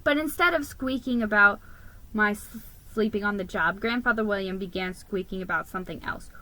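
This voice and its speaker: A woman giving an expressive, theatrical reading of a children's story. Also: mid-range voice, brisk, low-quality recording. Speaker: storybook reader.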